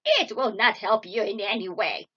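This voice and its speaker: A woman's voice: mid-range voice, lively intonation, moderate pace, pushed and bright, slightly rough, muffled recording. A woman voicing a mean old storybook witch, cruel and impatient, barking commands or coaxing with false sweetness. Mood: harsh, menacing.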